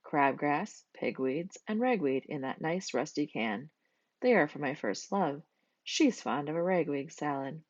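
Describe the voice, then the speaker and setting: A woman voicing a devoted, cheerful gentleman goat in a children's storybook, earnest and polite. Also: low-pitched, lively intonation, unhurried, no room sound, highs cut off. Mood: cheerful, eager.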